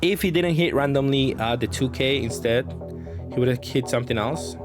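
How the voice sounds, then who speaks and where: Male gameplay commentator, medium pitch, casual and hesitant, reasoning through moves over clearly audible music. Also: normal speed. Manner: hesitant, thinking aloud. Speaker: card game player commentating on own matches.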